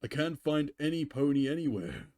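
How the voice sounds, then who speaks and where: A man's voice: fairly high pitch, lively intonation, normal speed, darkish tone, pitched above the performer's own voice, lightly acted. Bewildered little cartoon dragon read by a man. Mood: worried. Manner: questioning, bewildered. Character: small pudgy male cartoon dragon, anxious, bewildered sidekick.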